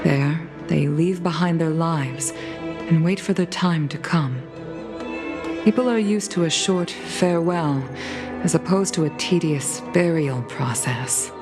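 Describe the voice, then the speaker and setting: A dignified fox-like commander in a game speaks in a low, measured and formal tone, heavy with sorrow. Her words come slowly and carefully. Game music plays clearly underneath. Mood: solemn. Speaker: commander of a fleet commission. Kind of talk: game cutscene voice acting. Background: game soundtrack music.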